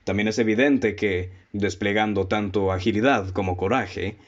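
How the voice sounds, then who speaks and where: A man's voice: fairly low pitch, steady tone, dull audio, close to an everyday voice. A man playing a methodical lecturing detective. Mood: explaining. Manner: measured, lecturing, poses pointed questions. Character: calm, methodical male detective laying out deductions. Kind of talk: radio drama acting.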